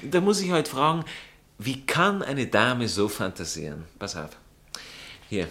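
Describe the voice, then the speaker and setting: An actor playing a prim, jealous aristocratic fiancé with an Austrian accent, drawling and indignant in a satirical stage comedy. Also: male voice, mid-range voice, a little slow, sharp tone, a little hiss or hum. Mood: scandalized. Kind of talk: stage comedy acting in a radio play manner.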